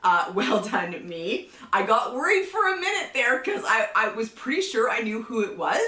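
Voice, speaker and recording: A woman with a cold hosting a casual book review, chatty, often laughing and clearing her throat. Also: on the high side, sing-song, moderate pace, sharp tone. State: has a cold. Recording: roomy echo, somewhat far from the mic.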